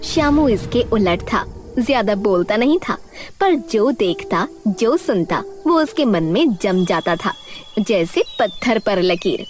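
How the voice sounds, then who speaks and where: A woman delivering dramatic scripted narration for an animated story. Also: medium pitch, a little slow. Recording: loud background music.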